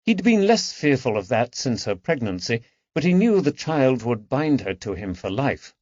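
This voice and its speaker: A man's voice: mid-range voice, very animated, normal speed, darkish tone, no echo, dull audio. A man reading a historical mystery novel as scripted audiobook narration.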